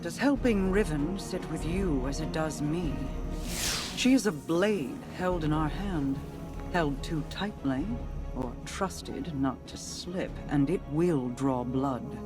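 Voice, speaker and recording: Composed, commanding queen; slow and deliberate, with a soft music bed. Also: low-pitched. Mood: grave. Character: regal, calculating queen of an otherworldly people.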